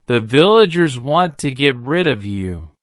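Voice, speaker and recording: A woman's voice: a bass voice, very animated, a little slow, dry recording, exaggerated voice. A woman voicing an earnest, anxious young boy from a storybook, urgent and pleading. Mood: anxious warning.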